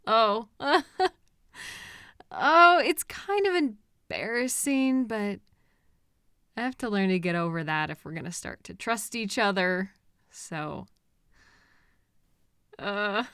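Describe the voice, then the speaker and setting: A woman playing an obsessive, possessive kidnapper in an intimate roleplay audio drama, coaxing and patient with a condescending edge and hesitant pauses. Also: mid-range voice, lively intonation, fairly bright tone, clear, resonant tone, no echo, clean studio sound. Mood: embarrassed, giddy. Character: obsessive, possessive female kidnapper, soothing and delusional.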